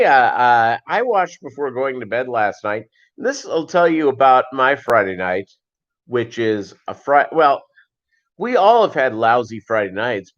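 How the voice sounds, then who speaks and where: A middle-aged man chatting casually as a livestream host, in a rambling, halting way that often repeats phrases. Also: mid-range voice. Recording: dull lo-fi livestream audio.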